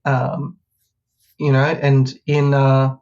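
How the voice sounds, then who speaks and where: An Australian man chatting casually as a podcast guest, his voice a little hoarse from a cold. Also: mid-range voice, completely flat delivery, drawn-out words, a little mellow, highs cut off. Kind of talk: casual chat.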